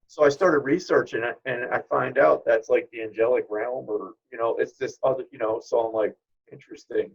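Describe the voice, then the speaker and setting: Middle aged guy chatting in an interview, husky gravelly voice, kinda dull lofi audio. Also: mid-range voice, steady tone, brisk. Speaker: guest, spirit medium. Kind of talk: informal interview talk.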